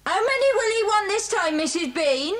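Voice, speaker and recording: A woman's voice: very high-pitched, very animated, normal speed, fairly bright tone, exaggerated voice. An actress playing a big, complaining, grudgingly obedient farmhouse servant woman in a children's audio drama. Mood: grumbling.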